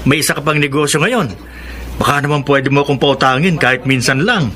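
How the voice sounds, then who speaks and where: Bright, breezy young Filipino guy. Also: fairly high pitch, fairly quick, a little hiss or hum. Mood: playful, excited. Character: breezy, admiring, teasing young male friend. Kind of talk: Filipino radio drama acting.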